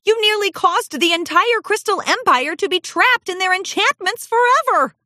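A stern mother pony from a storybook, scolding in a shrill, sharp voice, as a woman reads her. Her voice sits very high and pushes loud. Close dry recording. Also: very animated, slow pace, no room sound, heavily acted.

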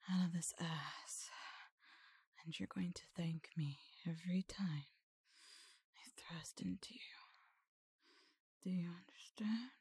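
Low husky woman, bossy and taunting, lots of breathy whispering and moaning, drags every word out slow. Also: darkish tone, no echo. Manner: commanding, taunting, dominant; breathy whispers mixed with moans. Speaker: adult audio performer. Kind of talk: dominant erotic roleplay audio.

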